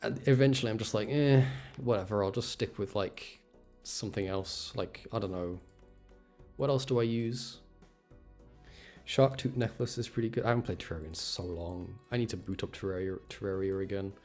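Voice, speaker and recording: A man's voice: lowish voice, moderate pace, a little mellow, soft background music. A young man doing a casual gaming livestream, rambling and thinking aloud as he goes. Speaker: game streamer. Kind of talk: casual gaming stream chat.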